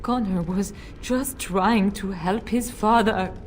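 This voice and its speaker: An actress voicing a frightened noblewoman in a video game, who pleads haltingly for her son. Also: mid-range voice, slight background noise, close to an everyday voice. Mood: pleading. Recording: game audio with faint music.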